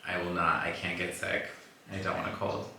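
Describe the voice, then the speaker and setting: Deep-voiced man, bright echoey podcast. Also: flat delivery, distant mic, slight background noise. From Los Angeles. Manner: playful, teasing, quick to laugh. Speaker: podcast host. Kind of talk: casual chat. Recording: echoey room, voice a bit off-mic.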